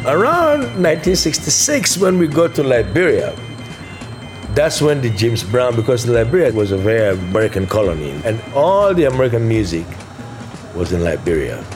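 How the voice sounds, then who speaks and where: An older drummer and musical director recalling his band years in an interview, in a steady, matter-of-fact way. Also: mid-range voice, moderate pace. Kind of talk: interview reminiscence. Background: music playing underneath.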